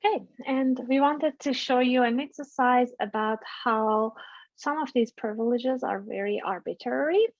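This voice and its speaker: Woman workshop facilitator, higher voice, brisk, dull lo-fi audio. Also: no room sound. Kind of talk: presenting a workshop.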